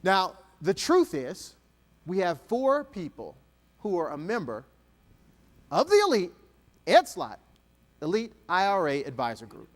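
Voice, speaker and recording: Man from Texas, motivational speaker, higher voice, swooping expressive pitch, jokes with audience. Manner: animated, dramatic pauses, slow emphatic dictation. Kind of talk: keynote speech to an audience.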